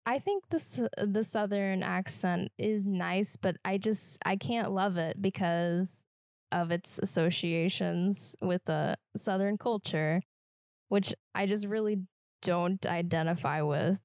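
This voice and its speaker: A woman from Kansas with a Midwestern American accent, co-hosting a podcast in a reflective, halting way that often restarts phrases. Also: medium pitch, fairly even intonation, a little mellow, no room sound, clean studio sound. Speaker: podcast co-host. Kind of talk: casual podcast conversation.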